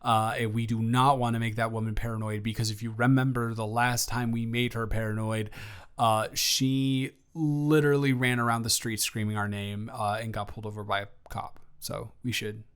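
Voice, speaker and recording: A man's voice: mid-range voice, little pitch movement, a bit bright, smooth, lightly acted. Man playing a flustered, rambling young male roommate. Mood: nervous stalling. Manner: rambling, halting, theatrically exasperated casual speech. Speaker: audio roleplay performer. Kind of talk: improvised intimate roleplay monologue.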